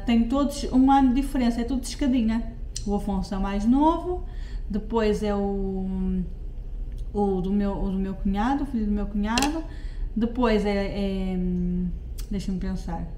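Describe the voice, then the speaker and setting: A woman's voice: medium pitch, mellow, roomy sound, a little hiss or hum. A middle-aged woman with a European Portuguese accent chatting to her viewers as she cooks in her home kitchen, often stopping to correct herself. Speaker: lifestyle vlogger. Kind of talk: casual cooking vlog chat.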